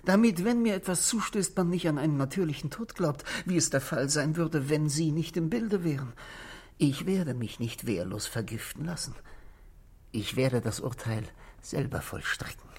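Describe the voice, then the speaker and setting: An actor playing an anxious, brooding husband in a 1960s German radio play, polite and halting, with a hushed, breathy voice. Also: male voice, fairly high pitch, animated. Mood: grimly resolved. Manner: hesitant, halting, apologetic, dropping to a whisper.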